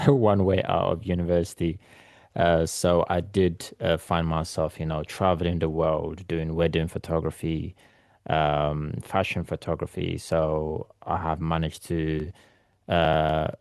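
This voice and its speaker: Brief: man in his thirties, very low and gravelly, flat delivery, rambling guest with many ums, dry sound. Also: no echo. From born in Ethiopia, raised in London. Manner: rambling, many ums and restarts, soft laughs. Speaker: guest photographer. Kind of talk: casual interview chat. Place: remote call from London.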